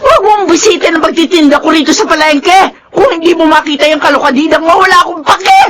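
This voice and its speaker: A woman playing a feisty, sharp-tongued market vendor in a Filipino radio drama, shrill and defiant. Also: fast. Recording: noisy outdoor market with crowd behind.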